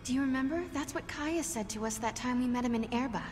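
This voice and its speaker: An actress playing an earnest, inquisitive young heroine in a video game. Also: female voice, high voice, fast, long reverb tail, lightly acted. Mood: puzzled, earnest. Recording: game music behind the voice.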